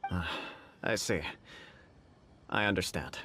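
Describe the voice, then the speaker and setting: A man's voice: deep voice, takes it slowly, sharp tone, a little hiss or hum, full character voice. A man voicing a courteous noble official in a video game, formal and diplomatic. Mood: conciliatory. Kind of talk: scripted video game voice acting. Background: game music.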